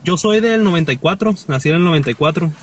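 A man's voice: on the high side, lively intonation, quick pace, a little hiss or hum. A Mexican man in his twenties, a guest chatting casually in a joking, slangy, rambling way. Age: 20s. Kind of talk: casual chat.

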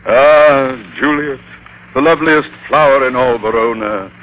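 An actor playing a pompous, blustering American school principal in middle age, in an old-time radio comedy. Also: male voice, medium pitch, unhurried, lightly acted. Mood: mock-sorrowful, theatrical. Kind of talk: 1940s-50s radio comedy acting. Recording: muffled, noisy vintage radio recording.

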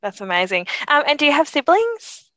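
A woman's voice: high-pitched, wildly swooping pitch, moderate pace, a bit bright, dry recording. A woman hosting a podcast, reading an introduction and then encouraging her guest with affirming remarks. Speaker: podcast host. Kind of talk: podcast interview, reads intro then chats.

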